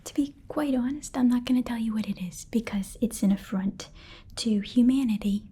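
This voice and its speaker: A woman whispering softly and breathily in an ASMR roleplay as a playful, fussy appraiser of magical items. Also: fairly high pitch, normal speed, slightly rough. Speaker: ASMR performer.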